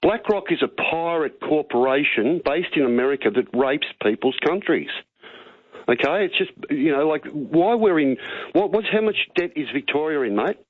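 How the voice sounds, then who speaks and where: A man's voice: on the high side, expressive, moderate pace, dry recording. A middle-aged man with an Australian accent phoning a radio show, earnest and opinionated, rambling and matey. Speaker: talkback radio caller. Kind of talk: opinionated phone-in talk. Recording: tinny phone line on radio.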